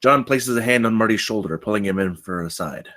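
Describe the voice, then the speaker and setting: A man's voice: lowish voice, brisk, sharp tone. A man reading stage directions as scripted narration at a film table read.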